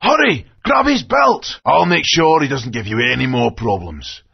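An actor playing a gruff male dinosaur warrior barking urgent commands, in dry lo-fi game audio. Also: fairly high pitch, expressive, normal speed, no echo, full character voice.

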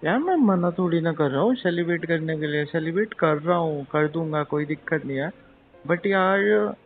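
A man's voice: on the high side, lively intonation, normal speed, faint music behind. A man playing a teasing, penny-pinching young husband-to-be chatting casually and hesitantly on a phone call. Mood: exasperated. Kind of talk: acted intimate phone-call drama in casual chat. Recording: tinny phone line, faint noise.

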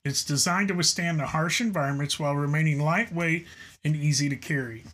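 A man's voice: a little high, brisk, fairly bright tone. A middle-aged man giving an earnest, semi-scripted product review to camera, explaining features and occasionally stumbling over his lines. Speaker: YouTube host reviewing radio gear. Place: indoor studio room with gear shelves.

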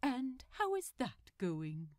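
A woman's voice: on the high side, big pitch swings, takes it slowly, darkish tone, no echo, studio quality. A woman voicing a polite, grandiose male supervillain scientist with formal diction in a story reading. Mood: polite, resolute. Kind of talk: fan fiction audiobook character.

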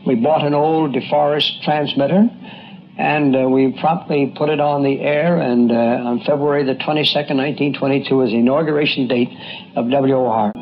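A man's voice: mid-range voice, fairly even intonation, normal speed. A man, a radio engineer, giving a plain, factual and slightly hesitant reminiscence. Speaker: radio station chief engineer. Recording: dull, faintly noisy archive interview.

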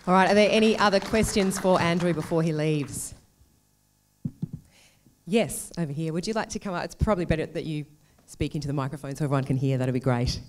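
A woman moderating a candidates' forum, brisk and directing the room. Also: low-pitched, slight background noise.

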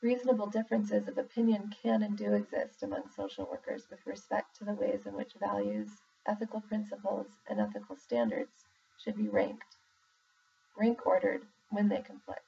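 Very creaky woman reading aloud. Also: mid-range voice, lively intonation, moderate pace, mellow. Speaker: reader, social worker in exam preparation. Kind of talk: reading a formal document aloud. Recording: muffled, distant mic with faint background noise.

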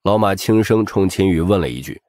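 A man's voice: sits low, animated, fairly quick, a little mellow, no echo. A man narrating a story as an audiobook reader in a dramatic scripted style.

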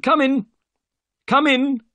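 A man voicing an anxious, excitable little bald man in an audiobook, with a fussy, theatrical delivery. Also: sits high, a little slow, creaky, no echo, voice pushed up, heavily acted. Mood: imperious, eager. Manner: exclamatory, fretful, theatrical delivery. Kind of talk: audiobook character voice.

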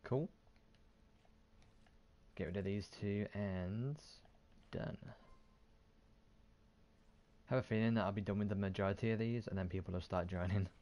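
A man's voice: fairly low pitch, steady tone, unhurried, long pauses, mellow. A man giving casual gameplay commentary as a streamer, half muttering to himself in a self-deprecating way. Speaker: gaming streamer. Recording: faint game sound behind voice.

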